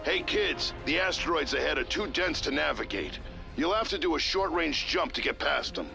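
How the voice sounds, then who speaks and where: A man's voice: fairly high pitch, expressive, normal speed, creaky, exaggerated voice. A man voicing a cocky, folksy starship engineer in a video game, talking in a casual, slangy, brash way. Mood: upbeat briefing. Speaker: ship engineer giving tips. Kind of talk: video game character acting. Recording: loud game music behind.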